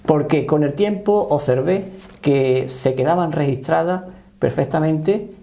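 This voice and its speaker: Gravelly older man from Spain. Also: on the high side, mellow. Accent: Spanish. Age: 60s. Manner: halting, often seeking confirmation. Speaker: interview guest, paranormal voice researcher. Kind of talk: conversational storytelling and explanation.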